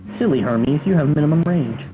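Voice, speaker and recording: A man's voice: fairly high pitch, expressive, fairly quick, over music, low-quality recording. A man chatting casually as a player in a tabletop game.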